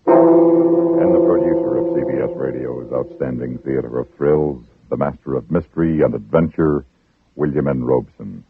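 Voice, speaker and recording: A man announcing an old-time radio suspense show in an ominous, deliberate manner. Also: extremely low pitch, big pitch swings, gravelly. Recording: muffled vintage radio, dramatic music behind.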